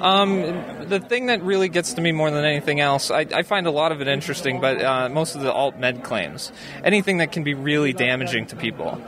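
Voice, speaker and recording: American male guest, slightly high voice, easygoing, hesitant, conversational, noisy crowd behind. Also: expressive, a little fast. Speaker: interview guest, skeptics group founder. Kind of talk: casual conversational interview. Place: busy convention venue. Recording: busy crowd chatter behind.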